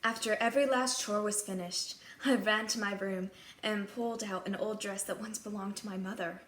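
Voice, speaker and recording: A woman's voice: medium pitch, fairly even intonation, normal speed, fairly bright tone. A woman narrating a scripted children's fairy tale in the first person, in character as a princess. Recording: echoey room, clear background noise.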